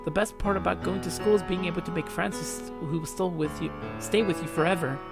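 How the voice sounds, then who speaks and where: A man playing an earnest, protective schoolboy in a visual novel, pleading and halting, with dramatic delivery. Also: high voice, vocal fry, over loud music.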